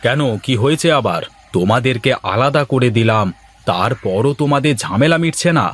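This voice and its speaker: A man's voice: medium pitch, animated, moderate pace, natural voice. Man acting a weary, plaintive family man. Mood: exasperated. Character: weary village husband and son, fed up with family quarrels. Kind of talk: Bengali cartoon dialogue acting.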